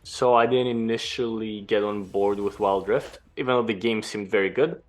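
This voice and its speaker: A young man from Greece, a former pro gamer, answering interview questions in a relaxed, explanatory way. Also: fairly low pitch, normal speed, faint music behind. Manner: relaxed, explanatory, some restarts.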